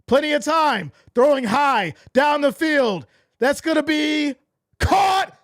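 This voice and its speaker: A man doing live fan play-by-play of a football game, excitable and losing his voice, swinging from shouts to deflated mutters. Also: very high voice, slow pace, no echo, studio quality.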